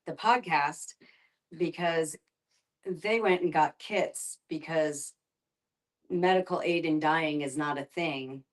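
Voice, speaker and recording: A woman's voice: sits low, slow. A woman facilitating a casual group discussion, thinking aloud in a hesitant way.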